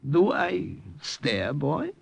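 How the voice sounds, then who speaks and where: A husky, creaky German professor, with a German accent and hugely animated pitch. He is guarded and placating, halting as he talks, in an old radio drama style. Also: no echo, exaggerated voice. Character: older German ex-professor, hunted dissident posing as friendly guest. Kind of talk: 1940s-50s radio drama acting. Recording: old radio recording with music.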